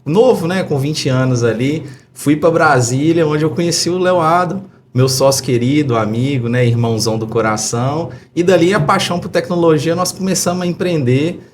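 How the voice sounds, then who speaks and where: A man's voice: a little high, moderate pace, few pauses, a little hiss or hum. A man of about forty with a Brazilian accent from Minas Gerais, telling stories casually and effusively as an interview guest. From Belo Horizonte, Brazil. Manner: effusive, anecdotal, frequent tag questions. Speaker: podcast guest, tech entrepreneur.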